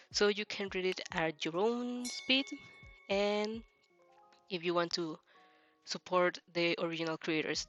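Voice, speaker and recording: A woman's voice: on the low side, fairly bright tone. Leisurely female streamer over background music. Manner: hesitant, searching for words, giggly. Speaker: streamer hosting a fanfic reaction channel. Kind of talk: casual livestream chat. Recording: music playing behind the voice.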